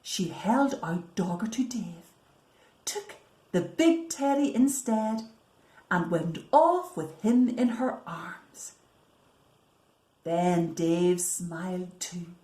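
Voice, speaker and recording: A woman reading a picture book to young children as a storyteller, deliberate and expressive, often hushed for effect. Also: lowish voice. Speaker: library storyteller. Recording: roomy, echoing sound.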